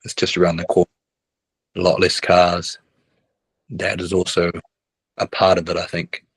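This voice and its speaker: Middle-aged kiwi coach guy, deep voice, talks in loops and keeps saying right?. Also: completely flat delivery, normal speed, fairly bright tone, breathy edge, dry recording. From New Zealand. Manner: rambling, restarts often, ends with "right?". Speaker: basketball coach. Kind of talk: casual interview chat.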